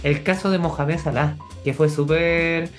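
A man's voice: fairly high pitch, moderate pace, faint music behind. A young Chilean man co-hosting a casual podcast, chatty and full of fillers, often breaking into laughter. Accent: Chilean Spanish. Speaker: podcast co-host. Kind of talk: casual chat.

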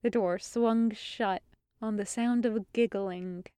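Female storyteller, expressive fiction narration, smooth clear tone, slow pace, close dry recording. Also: mid-range voice, no echo. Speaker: podfic narrator.

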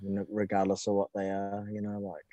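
Easygoing New man, low voice. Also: moderate pace, no echo. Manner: relaxed and easygoing, ends sentences with "eh?". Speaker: podcast guest. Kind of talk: casual chat.

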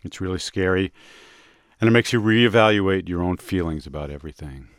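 A man hosting a music show in casual conversation, speaking in a reflective, halting way with pauses mid-sentence. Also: deep voice, no room sound, clean studio sound. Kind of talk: casual radio music discussion. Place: dry studio.

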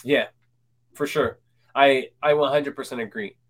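A man's voice: medium pitch, a little slow. A man in his twenties hosting a casual interview, full of ums and restarts. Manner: hesitant, many ums and restarts. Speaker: podcast host.

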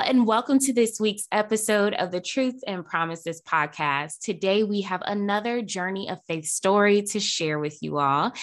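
A woman hosting a podcast, speaking earnestly and emphatically, with phrases stacked in rhythm. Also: mid-range voice. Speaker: podcast host. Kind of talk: scripted podcast intro and interview hosting.

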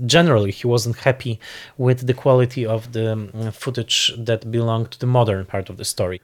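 A man giving reflective interview commentary on film, thoughtful and halting as he searches for words. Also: medium pitch, fairly even intonation.

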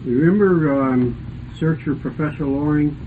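A man's voice: a little high, a little slow. An older man, a radio club panelist, reminiscing in a casual, jovial, rambling way. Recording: noisy phone-like lo-fi radio sound.

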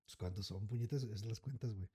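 A man's voice: fairly low pitch, fast, warm tone, breathy edge. A young man in his twenties with a Mexican accent, joking in slang as a podcast co-host. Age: 20s. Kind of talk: casual chat.

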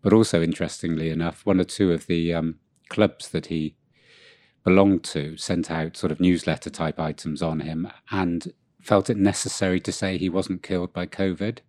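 Male co-host, very low voice, a bit sniffly, wry and self-mocking, dry close recording. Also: fairly even intonation, normal speed, darkish tone, dry recording. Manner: dry, self-deprecating humor. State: has a cold. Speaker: podcast co-host. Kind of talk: casual chat. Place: room with co-host far off.

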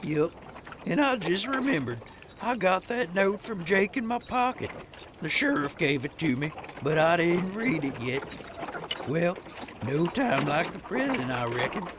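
A man's voice: sits high, sing-song, unhurried, noisy background. A man playing a good-natured, folksy cowhand with a Texan drawl in an old-time radio western. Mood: cheerful musing. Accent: Southern US, Texan. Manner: drawling, folksy, talks to his horse.

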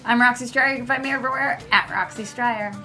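A woman's voice: a little high, steady tone, fast, bright, forceful tone, soft background music. A woman talking casually as a panelist on a talk show.

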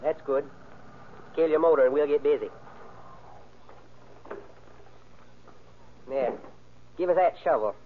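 A man's voice: a little high, a little fast, a little hiss or hum, natural voice. A man acting as a cold, cocky gangster ringleader in an old-time radio crime drama, with slangy, bossy tough-guy talk. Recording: tinny, band-limited old radio recording.

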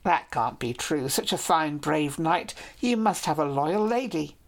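A woman's voice: sits low, expressive, unhurried. Woman voicing a clever noble lady. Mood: warm, persuasive. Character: young noble lady, proud, clever, teasing.

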